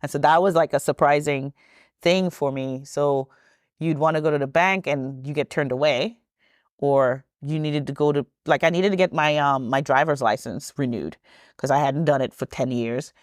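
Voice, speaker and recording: A woman's voice: low-pitched, moderate pace, dry recording, clean studio sound. A woman in her thirties from Trinidad, a podcast guest who tells stories in a chatty way and laughs often. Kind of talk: podcast interview storytelling.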